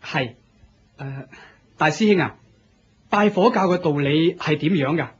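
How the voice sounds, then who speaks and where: A man's voice: on the high side, a little slow, breathy edge, highs cut off. A man with a Hong Kong Cantonese accent playing an eager, naive young novice in a radio drama, hesitant, questioning and deferential. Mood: timid, curious. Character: eager, naive young male novice of a fire-worship sect.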